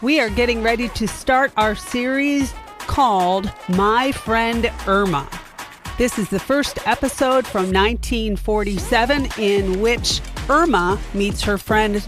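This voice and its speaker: A woman's voice: fairly high pitch, takes it slowly, music in the background. A woman hosting a theatre podcast, giving a casual spoken introduction. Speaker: podcast host and troupe actor.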